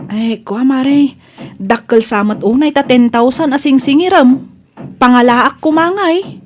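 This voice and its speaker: Woman playing wary village housewife, very high voice, hesitant, polite, Ilocano accent, radio comedy drama. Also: measured pace, a little hiss or hum. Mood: reluctant. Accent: Filipino, Ilocano.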